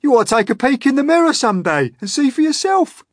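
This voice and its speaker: A man voicing a rude, scornful centipede who mocks loudly. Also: very high voice, very animated, a little fast, no room sound, clean studio sound, pitched above the performer's own voice, exaggerated voice. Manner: mocking, loud, rambunctious.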